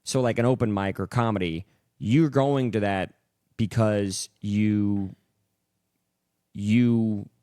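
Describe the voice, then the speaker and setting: A young man hosting a podcast, his low voice captured close and dry with no room sound. He talks loosely and swears casually while sharing blunt opinions. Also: slow, pauses often, dark tone, dry recording. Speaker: podcast host. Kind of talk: casual chat.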